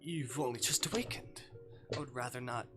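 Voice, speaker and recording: A man's voice: medium pitch, moderate pace, music in the background, lightly acted. A man voicing a solemn, protective male creature with hooves and long ears, speaking formally and guardedly in a visual novel. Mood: apologetic worry.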